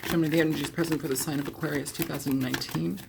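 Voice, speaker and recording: A woman reading tarot in a chatty, meandering way, hoarse and scratchy from a cold, often clearing her throat. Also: low voice, steady tone, very fast. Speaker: tarot reader. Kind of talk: casual tarot reading monologue.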